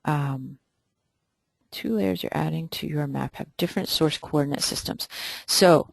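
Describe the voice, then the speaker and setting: Hesitant webinar instructor, gravelly voice. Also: mid-range voice, unhurried, no echo. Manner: hesitant, many ums, thinks aloud. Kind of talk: live webinar Q&A, screen demo.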